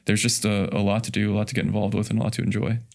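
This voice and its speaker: American guy podcast guest, low voice, pretty even tone, casual explaining. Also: rapid-fire, gravelly. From Kansas, United States. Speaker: guest, festival committee chairman. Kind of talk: casual interview chat.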